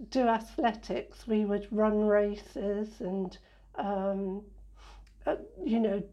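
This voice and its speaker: A woman from England answering interview questions in an unhurried, reflective way, with many fillers and restarts. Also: medium pitch, mellow, highs cut off.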